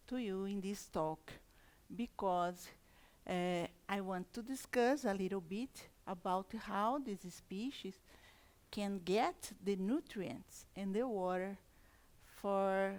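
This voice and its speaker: A woman with a Brazilian Portuguese accent, a university plant scientist giving a conference talk in careful, halting non-native English with restarts. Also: mid-range voice, a little mellow.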